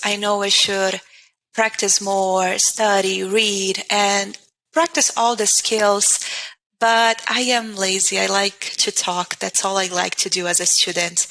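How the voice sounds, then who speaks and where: Portuguese-accented woman, language teacher as guest, crisp bright tone, thinks aloud with restarts. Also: mid-range voice, poor audio. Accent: Portuguese-speaking. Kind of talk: casual interview.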